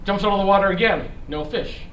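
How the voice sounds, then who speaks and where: Middle aged guy lecturing kinda off the cuff, highish voice, stop-start phrasing, far from the mic. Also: fast, a bit bright. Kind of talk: conversational lecture with rhetorical questions. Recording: echoey room, distant mic, noisy background.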